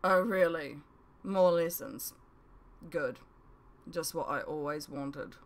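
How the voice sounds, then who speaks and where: A woman's voice: deep voice, slow pace. Awkward young lad, read by a woman, sardonic and hesitant, for a storybook academy tale. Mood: grumpy, sarcastic.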